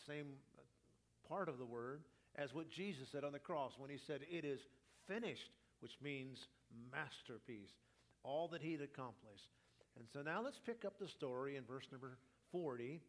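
A male pastor delivering a teaching sermon in a mid-range voice, a little raspy today. He explains scripture step by step and invites the congregation to read along with him. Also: medium pitch. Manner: teaching, leads congregation in reading.

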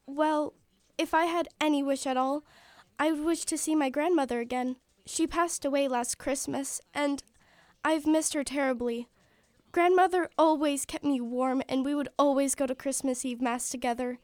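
Radio play: polite, hopeful little match girl, high-pitched adult woman acts her, earnest, formal delivery, dry close sound. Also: steady tone, normal speed, no room sound, natural voice. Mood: wistful, hopeful. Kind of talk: stage-style radio play acting.

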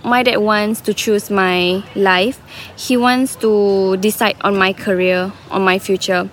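A young woman from Singapore telling a personal story to a live audience, candid and halting, with many fillers and restarts. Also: mid-range voice, fairly even intonation, slight background noise. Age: young adult. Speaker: student storyteller. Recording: faint room ambience behind a live talk.

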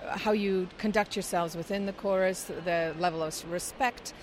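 A woman's voice: lowish voice, steady tone, normal speed, a little hiss or hum. A middle-aged woman from Scotland, giving explanatory interview answers with frequent fillers. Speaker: interviewee, chorus singer.

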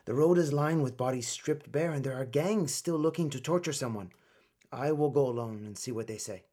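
An actor playing a stern older army colonel in a radio play, measured and authoritative, cold and guarded. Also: male voice, medium pitch, dark tone, natural voice. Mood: guarded, grave.

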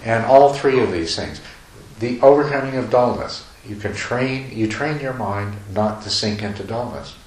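A man giving a meditation talk to a retreat group, talking loosely with many restarts and soft chuckles. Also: lowish voice, moderate pace, hardly any pauses. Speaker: meditation teacher. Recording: echoey room, faint noise.

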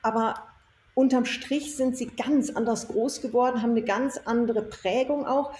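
Echoey, mellow-voiced enthusiastic woman. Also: fairly high pitch, steady tone, moderate pace. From Germany. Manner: enthusiastic, emphatic. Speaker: interview guest, self-employed former marketing executive. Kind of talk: conversational storytelling interview. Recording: echoey room, faint noise.

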